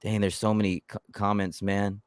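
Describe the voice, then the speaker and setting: Animated man hosting a fan live stream. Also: lowish voice, normal speed, clear, resonant tone, no echo, studio quality. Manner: animated, repeats words and restarts often. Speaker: YouTube channel host. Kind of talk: casual live-stream chat.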